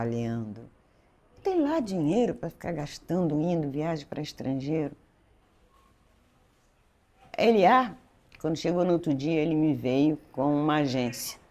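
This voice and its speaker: A woman's voice: low voice, noisy background. A woman in her seventies from Pernambuco, Brazil, telling her life story in an interview, meandering and correcting herself as she goes. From Recife, Pernambuco, Brazil. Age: 70s. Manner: meandering, self-correcting storyteller who acts out dialogue. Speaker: French teacher and school director, guest.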